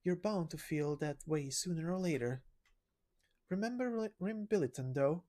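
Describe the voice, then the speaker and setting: A woman's voice: on the low side, normal speed, dark tone, lightly acted. A woman voicing a war-weary comrade in a game story, speaking earnestly and cautioning firmly. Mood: grave warning.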